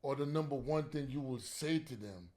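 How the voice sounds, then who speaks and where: A man from Haiti hosting an interview, asking questions in a playful, joking, animated way. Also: medium pitch, moderate pace. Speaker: interview host, DJ. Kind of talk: casual interview talk.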